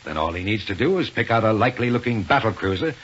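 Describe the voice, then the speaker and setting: A man's voice: fairly low pitch, lively intonation, a bit bright. An actor playing a commanding, calculating villain in a 1930s radio serial, authoritative and impatient with his underlings. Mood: confident, calculating. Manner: authoritative, deliberate. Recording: noisy, muffled 1930s radio recording.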